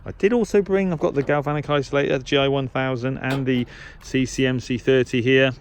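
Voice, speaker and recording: A man's voice: mid-range voice, fairly even intonation, brisk, slight background noise. A man giving a casual, unscripted equipment review as a hobbyist presenter, hesitating and thinking aloud as he goes. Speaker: shortwave radio hobbyist presenter.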